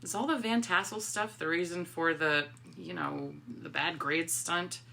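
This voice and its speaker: Comic reading: sarcastic, hesitant, caring teenage girl played by a woman, crisp bright tone, slightly low. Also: steady tone, unhurried, slight background noise, heavily acted. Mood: guarded concern.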